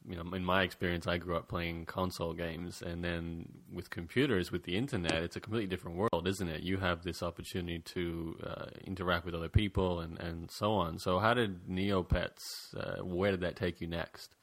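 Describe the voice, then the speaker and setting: Male interview host, very low voice, dry close sound, chatty, casual. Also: fairly even intonation, fairly quick, hardly any pauses, no room sound. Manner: chatty, friendly, with frequent fillers and restarts. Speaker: podcast host. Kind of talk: casual interview over a call. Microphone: call recording.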